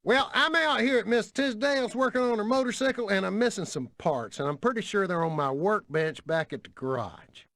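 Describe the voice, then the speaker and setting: An actor playing a good-natured, easygoing country mechanic with a Southern American accent and a neighborly, informal manner. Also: male voice, high-pitched, expressive, fairly quick, few pauses, fairly bright tone, slight background noise. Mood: friendly.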